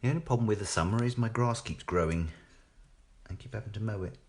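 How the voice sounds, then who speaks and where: A man's voice: lowish voice, fast. A British man chatting casually and thinking aloud as he hosts a live craft demonstration, often tagging questions onto his remarks. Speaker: craft demo host.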